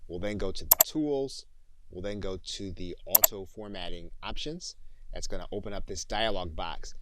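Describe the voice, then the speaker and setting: A man giving a methodical, clearly enunciated software tutorial as an instructor. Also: sits low, moderate pace, heavy background noise. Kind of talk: scripted tutorial narration.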